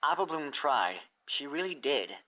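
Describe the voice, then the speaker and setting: A narrator reading a fan fiction story aloud in an expressive storybook style. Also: medium pitch, unhurried.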